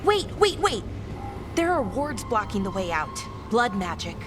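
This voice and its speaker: An actress playing an eager young elven tinkerer who talks in a quick, nervous, excitable way in a video game cutscene. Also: female voice, fairly high pitch. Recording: game audio with music.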